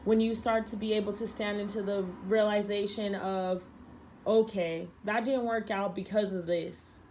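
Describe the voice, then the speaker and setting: Young female tarot reader, slightly hoarse, earnest and emphatic, light background hiss. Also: medium pitch, fairly even intonation, normal speed, darkish tone. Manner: earnest, emphatic, repeats herself. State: losing her voice, a little sick. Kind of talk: tarot reading monologue.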